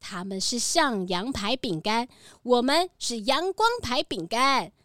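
A woman's voice: a little high, animated, unhurried, a bit bright. A woman playing a bleating goat boss lady. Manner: bleats now and then, proud and friendly. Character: gentle female goat cookie factory owner. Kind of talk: children's radio drama. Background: background music.

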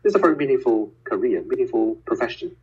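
A man whose first language is Cantonese explains things in a measured, patient way, pausing often on little filler words. His voice is very breathy and husky, at an ordinary middle pitch. The sound is muffled and lo-fi, like audio from a call. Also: lively intonation, darkish tone. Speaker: guest, physicist and educator. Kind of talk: casual conversation over a call.

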